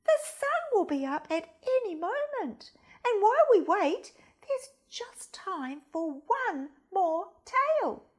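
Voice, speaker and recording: A woman voicing a quick-witted, dramatic goose in a storybook, stalling for time. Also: very high-pitched, sing-song, pitched above the performer's own voice, heavily acted. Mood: pleading.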